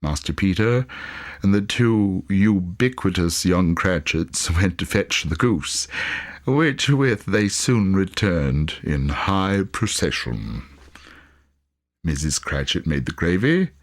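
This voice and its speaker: Deep-voiced man narrating a story expressively. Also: expressive, slow. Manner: theatrical, dramatic storytelling. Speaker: audiobook reader.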